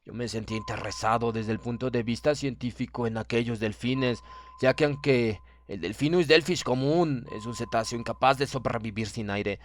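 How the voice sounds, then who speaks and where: A man's voice: mid-range voice, slight background noise. A man reads a horror story aloud as an audiobook narrator, in dramatic scripted narration. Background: background music.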